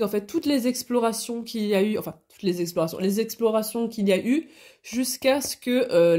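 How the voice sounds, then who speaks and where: A woman's voice: medium pitch, mellow. A woman with a cold and a blocked nose, chatting casually in a hobby vlog, with many hesitations and restarts. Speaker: hobby vlogger. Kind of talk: casual chat.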